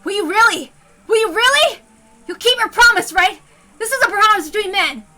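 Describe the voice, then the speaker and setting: A frantic man begs for his life in a dramatic story reading, voiced by a woman. The pitch jumps very high and the tone is loud, sharp and desperate. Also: lively intonation, normal speed, faint music behind, exaggerated voice. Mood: desperate, distrustful. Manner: desperate, shouted pleading.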